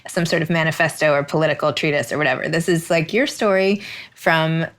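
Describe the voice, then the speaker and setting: A woman's voice: low voice, steady tone, brisk, a bit bright. A woman in her forties hosting a podcast, moving between scripted introductions and casual interview talk. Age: 40s.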